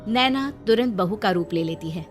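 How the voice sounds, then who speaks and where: A woman's voice: medium pitch, lively intonation, moderate pace. Woman narrating a story over music.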